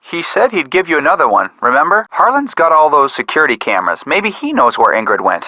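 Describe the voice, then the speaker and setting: A man's voice: a little high, sing-song, a little fast, pushed and bright, no echo, heavily acted, low-quality recording. An actor playing a sensible, matter-of-fact young male detective in scripted video game hint dialogue. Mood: helpful, sensible.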